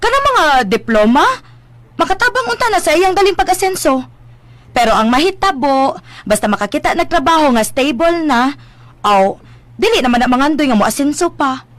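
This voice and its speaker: An actress with a Cebuano Filipino accent playing an ambitious, playful young daughter in a radio drama, chatty, teasing and insistent. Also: female voice, sits high, lively intonation, normal speed, dry recording. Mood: earnest, confident.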